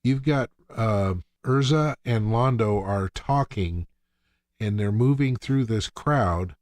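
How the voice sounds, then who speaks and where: Slow-talking man in casual podcast chat. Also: lowish voice, a little mellow, no echo, studio quality. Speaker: podcast co-host. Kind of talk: casual TV episode recap chat.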